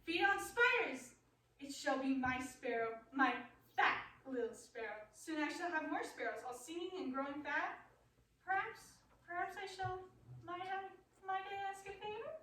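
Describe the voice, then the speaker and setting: High-pitched, raving asylum patient in echo. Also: female voice, pushed and bright. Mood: pleading, eager. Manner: halting, repetitive, fervent. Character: raving, pleading mad asylum patient who eats spiders. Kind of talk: radio play acting. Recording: roomy, echoey, distant mic with noise.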